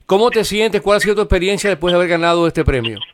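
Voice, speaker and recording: A man working as an interviewer, asking informal questions in a halting, encouraging way as he searches for words. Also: high-pitched, animated.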